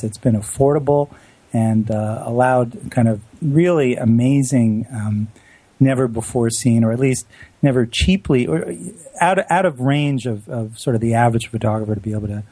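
Husky-voiced Canadian man. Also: medium pitch, normal speed, warm tone, no room sound. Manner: earnest, hesitant with restarts. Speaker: photographer, co-host. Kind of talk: casual chat.

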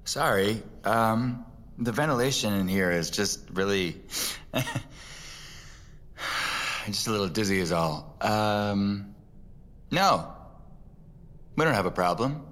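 An actor playing a shaken, disgusted appraiser in an audio drama, halting and repeating himself, often dropping to a hush. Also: male voice, on the low side, lively intonation. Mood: nervous evasion. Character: shaken, disgusted professional appraiser, unravelling as he talks. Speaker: appraiser examining an exhibit. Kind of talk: audio fiction monologue. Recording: music under the voice.

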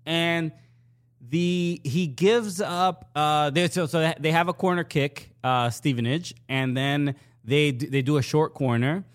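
A man co-hosting a casual soccer podcast, talking in a halting way with many fillers and restarts. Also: a little high. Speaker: podcast co-host.

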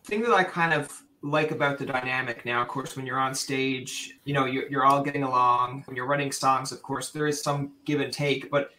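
A man's voice: mid-range voice, fairly even intonation, a little fast, hardly any pauses, sharp tone, a little hiss or hum. A man from Newfoundland hosting a casual interview, chatty and rambling with frequent restarts. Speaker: podcast host.

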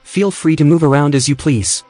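An actor playing a wry, reluctant, anxious tech colleague in a naturalistic audio drama, with a dry manner. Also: male voice, a little high, normal speed, darkish tone, close to an everyday voice. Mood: dry, matter-of-fact.

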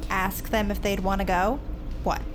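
A woman acting a snarky, excitable teenage fangirl in a scripted comedy-drama. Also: mid-range voice, moderate pace, noisy background, lightly acted. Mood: mocking, dismissive. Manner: snarky, mocking. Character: sarcastic, excitable teenage fangirl, a high school senior. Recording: music under the dialogue.

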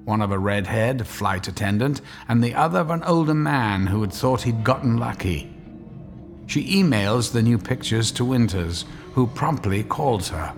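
A man's voice: medium pitch, lively intonation, normal speed, over music, close to an everyday voice. An actor playing a matter-of-fact male narrator of a scripted horror audio drama.

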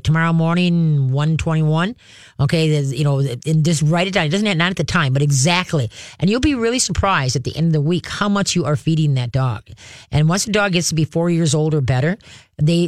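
A woman's voice: deep voice, dry recording. A woman in her sixties hosting a pet care call-in radio show, talking in a folksy, rambling, chatty way into a studio microphone. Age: 60s.

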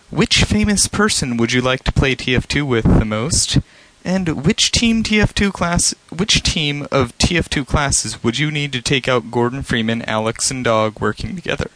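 A man's voice: a little high, a bit bright, slight background noise, rough recording. A man delivering a prepared voice message as a listener sending in questions.

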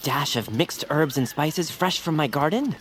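A woman voicing a genteel, excitable, eager-to-please character in a comedy audio drama, with fussy, flowery phrasing. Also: low voice, measured pace, a little hiss or hum. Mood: content.

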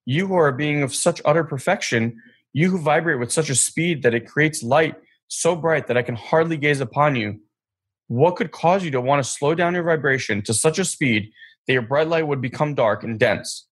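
A man voicing a small, innocent and eager soul in a storybook reading, with a gravelly, husky voice. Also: a little high, normal speed. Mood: wondering.

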